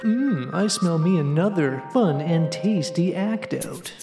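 Man as mock infomercial announcer, smarmy, sarcastic, long reverb, clear music bed, parody ad. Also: on the high side, lively intonation, slow. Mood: mock-sincere salesmanship. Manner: sarcastic, salesman-like. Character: smarmy mock infomercial pitchman for a hack comics' program. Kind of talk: parody commercial voice-over.

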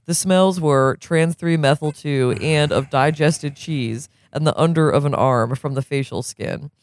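A man's voice: fairly high pitch, measured pace, no room sound. Man reading fiction aloud, dry sound. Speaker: reader, co-host.

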